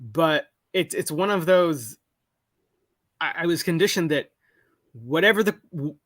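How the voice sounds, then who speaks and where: A young man calling in to a live show, talking in a halting way full of restarts and self-corrections. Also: on the high side, fairly bright tone, no echo, studio quality. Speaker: caller. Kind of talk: casual call-in conversation. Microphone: call-in over a video call.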